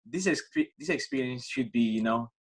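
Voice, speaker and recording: A young man from Spain with a Spanish accent, speaking English as a second language as a student guest in a casual podcast chat, with a halting way of talking and many restarts. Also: medium pitch, lively intonation, breathy edge. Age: young adult.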